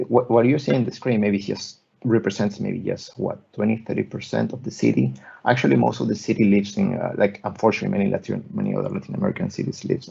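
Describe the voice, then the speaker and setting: A man, giving an online lecture as a guest architect, talking haltingly with many restarts and repeated words. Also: on the low side, gravelly, dark tone, husky. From Peru. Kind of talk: online lecture presenting slides. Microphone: video call. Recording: muffled video call audio.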